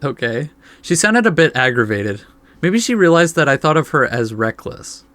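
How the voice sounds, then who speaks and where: A man's voice: fairly high pitch, very animated, moderate pace, a bit bright, dry recording. A man reading game text aloud as a let's play host, adding laid-back asides.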